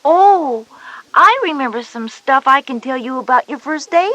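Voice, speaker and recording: Big sister schoolgirl, high n really animated, explains stuff, bit bossy, kids learning tape. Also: moderate pace, no room sound, low-quality recording. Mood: helpful, chatty. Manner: explanatory, emphatic. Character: knowing, patient but slightly bossy older sister Jenny, schoolgirl. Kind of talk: scripted educational audio story dialogue.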